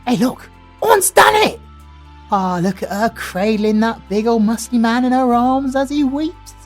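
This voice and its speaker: A man with a British accent voicing a cheeky, chatty comic sidekick who makes quick gossipy asides. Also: very high voice, lively intonation, faint music behind, pitched above the performer's own voice.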